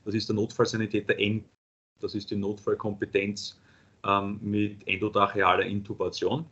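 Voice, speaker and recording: A paramedic lecturer with an Austrian accent presenting a webinar, pausing often with fillers. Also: male voice, fairly low pitch, monotone, a bit bright, slightly rough. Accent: Austrian German. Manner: halting, many filler sounds. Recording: dull lo-fi webinar audio.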